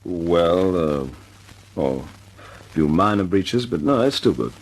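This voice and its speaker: An actor playing a stern young wing commander in a radio drama, clipped and commanding. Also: male voice, sits low, sing-song, slow pace, full character voice, low-quality recording. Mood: respectful. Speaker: squadron leader addressing aircrew.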